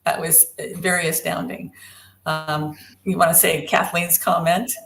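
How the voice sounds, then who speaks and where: A woman with a lowish voice talks as a therapist on a casual online panel. She is measured and steady, and often murmurs agreement while others speak. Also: gravelly. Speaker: lymphedema therapist and co-host.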